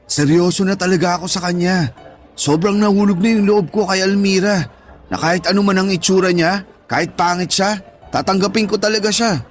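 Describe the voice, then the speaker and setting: High-voiced lovestruck young man, acted by a man. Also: fairly even intonation, brisk, faint music behind, lightly acted. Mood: earnest, hopeful. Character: lovestruck, trusting young man awaiting his girlfriend. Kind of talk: radio drama acting.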